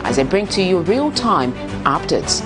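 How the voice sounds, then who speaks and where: A woman's voice: lowish voice, sing-song, measured pace. A woman reading a scripted channel promo in a polished announcer delivery. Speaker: promo voice-over. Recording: voice-over over music bed.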